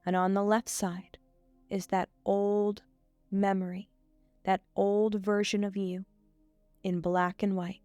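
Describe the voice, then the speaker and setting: A woman reading a scripted guided meditation in a soothing, measured way with frequent pauses. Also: mid-range voice, level tone, darkish tone, no echo, faint music behind.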